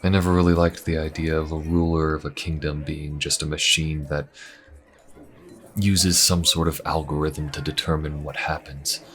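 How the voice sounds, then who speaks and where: Guy acting as a really deep calm adventurer secret agent, hesitant n sly, fantasy roleplay audio. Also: normal speed. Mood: musing, casual.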